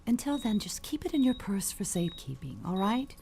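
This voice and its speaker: A woman's voice: mid-range voice, very animated, brisk, gravelly, dark tone, slight background noise. An actress playing an elderly, dying perfume mogul, an imperious and cutting mother with genteel, falsely sweet manners. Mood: urgent, commanding. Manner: genteel, commanding, condescending endearments. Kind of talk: audio suspense drama acting.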